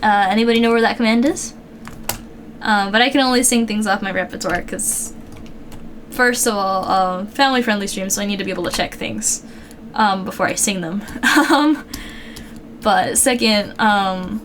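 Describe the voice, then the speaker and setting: A woman live-streaming while she draws. She talks casually with lots of fillers and restarts, and she sounds low on energy with a slightly worn throat. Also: normal speed, a bit bright, slight background noise. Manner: rambling, chatty, many fillers and restarts.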